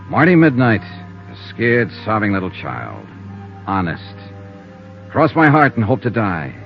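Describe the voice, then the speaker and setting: A man's voice: deep voice, creaky, exaggerated voice. An actor playing a tough, hard-boiled male investigator who narrates in a dry, clipped, wry manner, 1950s radio-drama style on an old recording. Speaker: narrator and lead. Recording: dull old radio recording with faint hiss.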